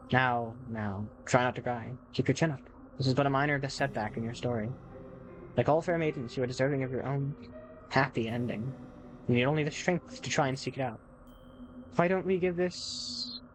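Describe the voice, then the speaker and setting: A man's voice: medium pitch, close to an everyday voice, poor audio. A man voicing a formal, devoted, possessive visual novel narrator, speaking straight to the player in a courtly way. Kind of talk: reading game text aloud.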